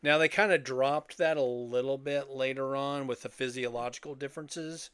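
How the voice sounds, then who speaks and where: Casting: older male co-host, clear resonant voice at medium pitch, relaxed podcast conversation. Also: normal speed, no room sound, clean studio sound. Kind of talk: casual chat about sci-fi and old radio heroes.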